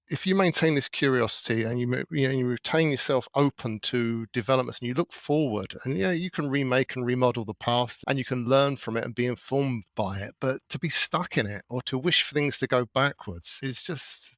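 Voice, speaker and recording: A man speaking as a podcast guest, laying out his opinions at length in a measured way. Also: medium pitch, fairly bright tone, dry recording, studio quality. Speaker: guest artist. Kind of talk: casual podcast conversation.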